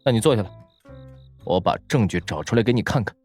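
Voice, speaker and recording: A man's voice: fairly low pitch, animated, fast, darkish tone, husky, faint music behind. A man playing a shrewd, teasing young male college student in an audio drama, playful and mock-suspicious. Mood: playful, bossy.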